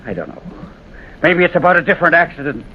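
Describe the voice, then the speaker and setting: A man's voice: fairly high pitch, animated, fast talker, lightly acted. An American actor playing a haunted railroad signalman, fraught and insistent, obsessed with a ghost, in old-time radio drama style. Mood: anguished, urgent. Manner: fraught, intense, repeats phrases insistently. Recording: muffled, noisy vintage radio recording.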